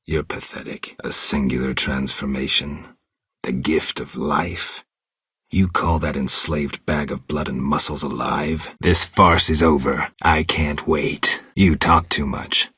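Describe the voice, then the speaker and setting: A man voicing a stoic, world-weary monster hunter in a video game, terse and deadpan, with a gravelly, husky low voice. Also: slow pace, no room sound, studio quality, heavily acted. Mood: cold, menacing. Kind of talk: video game dialogue lines.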